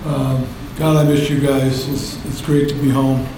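A man's voice: medium pitch, level tone, a little slow, warm tone, slight background noise, low-quality recording. An older man sharing his story at a support group meeting, rambling and folksy with many fillers, speaking into a handheld mic in a meeting room. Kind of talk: personal share at a recovery meeting. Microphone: handheld microphone.

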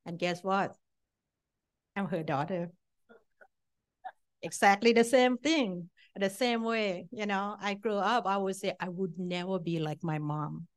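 A woman's voice: mid-range voice, measured pace, long pauses. A middle-aged woman from Thailand with a Thai accent, giving a blunt, self-deprecating personal testimony at a meeting in short punchy phrases. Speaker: speaker at a support-group meeting.

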